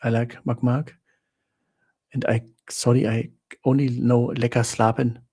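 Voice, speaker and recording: Man, German accent, live stream host, gravelly, husky, unhurried, halting. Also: medium pitch, little pitch movement, warm tone. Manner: rambling, halting, frequent restarts and fillers. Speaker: livestream host answering viewer questions. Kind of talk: casual live Q&A chat.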